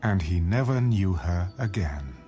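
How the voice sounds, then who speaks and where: Male scripture narrator, lowish register, lively intonation, music bed beneath. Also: unhurried. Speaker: audio Bible narrator. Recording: music under the voice.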